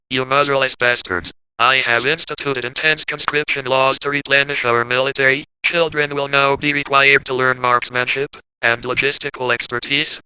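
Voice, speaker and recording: Man playing a flat, mechanical-voiced wounded president. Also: mid-range voice, clear, resonant tone. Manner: grave, defiant speech. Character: wounded war president, grim and defiant. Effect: voice aid after larynx injury. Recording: dull lo-fi broadcast.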